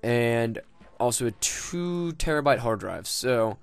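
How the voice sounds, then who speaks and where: A man's voice: medium pitch, animated, slow pace, vocal fry, clean tone, no room sound. A young man casually commenting on his game, rambling and joking with lots of swearing and fillers. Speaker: gaming video host.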